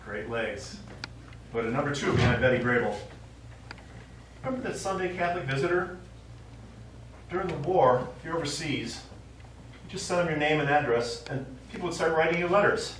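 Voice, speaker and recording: An actor playing an elderly, stubborn widower and war veteran, reminiscing in a wry, rambling way in a stage play. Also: male voice, a little high, animated, normal speed, pauses often, a bit bright. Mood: nostalgic, wry. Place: small theatre stage, recorded from the audience. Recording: echoey small theatre, distant mic, faint noise.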